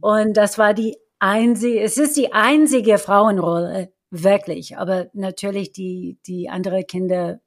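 Woman with an American accent, halting German. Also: mid-range voice, fairly even intonation. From United States. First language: English. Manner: halting German with many fillers and restarts. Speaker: guest, actress. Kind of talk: casual interview, personal story.

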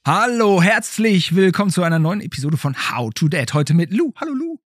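A man's voice: high voice, very animated, fairly quick, a little hiss or hum. A man hosting a podcast, chatting casually in an interview with a child guest. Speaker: podcast host.

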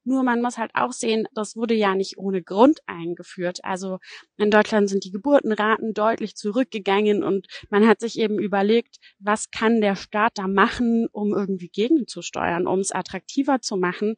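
A woman's voice: mid-range voice, no echo. A woman from Germany, a journalist giving conversational, explanatory commentary in an interview.

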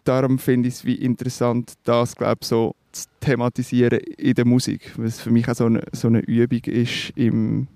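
Swiss German musician guest, mid-pitched man, searching his words, dry close mic. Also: monotone, normal speed, few pauses, mellow, no room sound. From Switzerland. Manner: thoughtful, hesitant, many fillers and restarts. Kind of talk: reflective interview talk.

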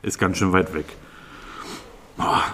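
An actor playing a traveler in an improvised children's radio play, talking in a questioning, deliberating way with drawn-out hesitations. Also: low-pitched, steady tone, fairly bright tone, a little hiss or hum. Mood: pondering.

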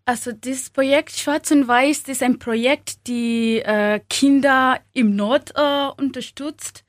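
A young woman from Kenya speaking German as a second language, halting with many fillers and small grammar slips, as an interview guest. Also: sits high, steady tone, no room sound, studio quality. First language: not German. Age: young adult.

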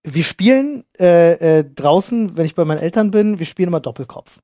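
A man's voice: a little high, expressive, a little fast, dark tone, no echo, studio quality. A man co-hosting a podcast, telling casual anecdotes in slangy, youthful phrasing. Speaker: podcast host.

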